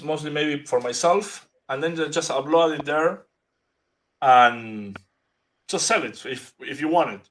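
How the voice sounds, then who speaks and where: A man with an Argentinian accent chatting as a guest artist, halting and restarting as he searches for English words. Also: on the high side. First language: Spanish. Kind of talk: casual chat.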